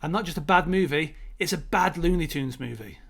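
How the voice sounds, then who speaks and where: Bright-voiced man talking film opinions. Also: fairly high pitch, animated, fairly quick, gravelly. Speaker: podcast co-host. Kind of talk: opinionated casual film discussion.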